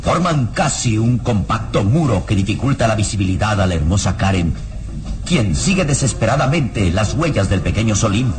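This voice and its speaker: A man's voice: lowish voice, sing-song, moderate pace. A man narrating a scripted radio serial in a grave, suspenseful, dramatic manner.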